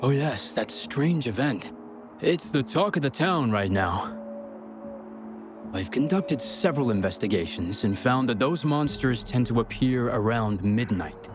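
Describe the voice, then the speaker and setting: An actor playing a helpful mine patrol guard in a video game, measured and official, with music behind him. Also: male voice, mid-range voice, lively intonation, natural voice. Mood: composed. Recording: music under the voice.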